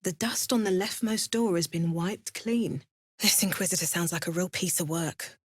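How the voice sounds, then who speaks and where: Female fantasy adventurer, video game, watchful, wry, resolute, measured theatrical delivery. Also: fairly low pitch, slightly rough, no room sound, studio quality. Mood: observant, wry. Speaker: voice actor for a player character.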